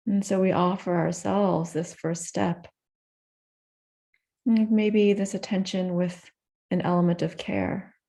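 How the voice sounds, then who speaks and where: A woman leading a guided meditation in a gentle, unhurried manner with soft pauses between phrases. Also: fairly low pitch, steady tone.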